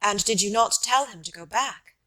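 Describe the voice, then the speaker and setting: A woman's voice: mid-range voice, normal speed, sharp tone, recorded from a distance, close to an everyday voice, poor audio. A woman reading the part of a subdued husband in an audiobook, humble and sad as he questions his wife. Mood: gently questioning. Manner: humble, indifferent-sounding, sad.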